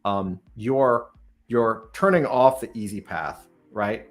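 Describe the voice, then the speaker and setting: A man's voice: on the low side, slow pace, faint music behind. A man hosting a casual interview, talking in a rambling way as he thinks aloud and restarts his questions. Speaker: podcast host and startup founder interviewing a guest.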